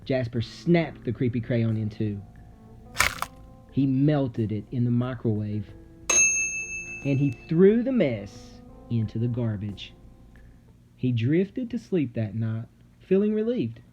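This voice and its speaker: A man reading a children's story aloud dramatically, with suspenseful, theatrical delivery and deliberate pauses. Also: medium pitch, very animated, lo-fi sound. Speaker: storybook narrator. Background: music.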